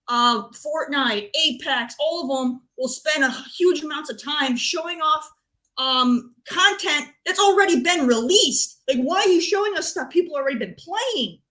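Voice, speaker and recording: A woman's voice: sits high, lively intonation, normal speed, pushed and bright. A woman speaking as a panelist in a casual chat, blunt and emphatic with her opinions. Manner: emphatic, blunt, repeats points. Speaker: panelist on a gaming talk show.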